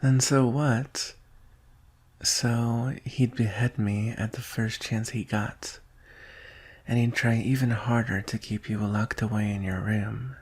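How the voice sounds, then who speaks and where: Devoted dragon guard, played by a man for ASMR roleplay: very husky and breathy, gravelly edge, hushed, solemn and tender. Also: medium pitch, steady tone. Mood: wary, hushed. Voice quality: breathy, husky, often dropping to a whisper. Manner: hushed, deliberate, with long pauses. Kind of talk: ASMR roleplay, intimate slow delivery.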